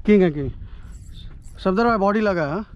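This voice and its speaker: A man's voice: sits high, brisk, slight background noise. A man chatting casually as he fishes with companions outdoors on a boat. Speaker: angler. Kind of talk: casual chat during a fishing trip. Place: outdoors on an open fishing boat at sea. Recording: dull lo-fi sound, other people's voices around.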